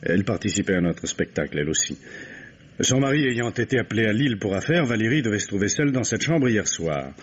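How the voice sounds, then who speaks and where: An actor playing a middle-aged count and bereaved husband, hesitant, formal and courteous, in an old radio mystery. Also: male voice, lowish voice, sing-song, fast talker, a little hiss or hum. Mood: earnest recounting. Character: count, grieving husband, writer, polite suspect being questioned. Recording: dull vintage radio drama recording.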